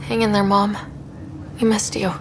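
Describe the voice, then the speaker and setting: A woman's voice: mid-range voice, flat delivery, moderate pace, noisy background, natural voice. A woman playing an earnest young space explorer in a video game, talking in a conversational way. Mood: tender. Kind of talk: scripted game dialogue.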